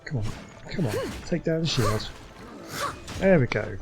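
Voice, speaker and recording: A man's voice: mid-range voice, animated, measured pace. Male gaming host, casual play-by-play, music underneath. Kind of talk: casual gameplay commentary.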